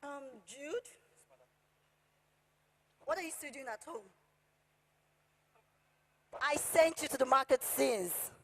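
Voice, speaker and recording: Actress as a high-pitched bossy madam in a hall. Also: female voice, slow, pauses often, slight background noise. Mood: angry, bossy. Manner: mocking, scolding, theatrical. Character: bossy, exasperated married madam scolding her male servant. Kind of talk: stage play acting. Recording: echoey hall stage recording.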